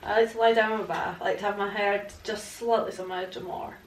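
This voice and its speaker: A woman's voice: medium pitch, fairly even intonation, quick pace, distant mic, slight background noise. Young woman, hesitant, in an echoey room. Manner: hesitant, lots of fillers. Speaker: guest, paranormal investigator. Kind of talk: casual interview answers. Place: living room, indoors, on a sofa. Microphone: far camera.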